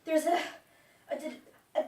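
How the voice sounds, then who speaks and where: A woman's voice: a little slow, warm tone, natural voice. A woman playing an agitated nephew in a stage comedy, emphatic, exasperated and stammering. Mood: shocked. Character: agitated, flustered nephew, a man, drama critic. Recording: echoey room, far mic, faint noise.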